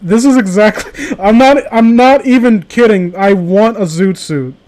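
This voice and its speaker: A man with a very high voice hosts a casual group chat on a stream. He rambles excitably and circles around his thoughts as he goes. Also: measured pace. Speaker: host of a live group stream.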